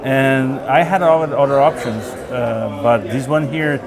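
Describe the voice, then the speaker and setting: A man's voice: mid-range voice, a little slow, poor audio. A man from Brazil with a Brazilian Portuguese accent, a native Portuguese speaker, describing his watch in simple, careful phrases. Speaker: guest collector. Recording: voices over a chatty crowd.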